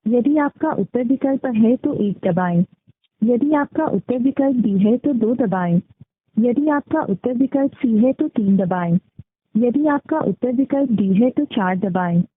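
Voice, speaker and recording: Tinny phone recording, woman, lilting high voice, formal scripted automated menu prompts. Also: animated. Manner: formal, measured, instructional. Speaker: automated phone line announcer. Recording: muffled, phone-like, roomy call playback. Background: phone call recording played back.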